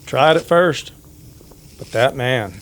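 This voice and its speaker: A man's voice: mid-range voice, lively intonation, voice pushed up. Man voicing a hesitant small-town Old West barber. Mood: grim, confiding. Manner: hesitant, halting, gossiping confidingly. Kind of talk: old-time Western radio drama acting.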